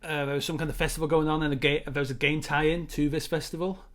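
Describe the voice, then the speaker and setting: Higher-voiced man chatting about films. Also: little pitch movement, fast talker, a bit bright. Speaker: co-host of a film discussion show. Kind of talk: casual chat, film commentary.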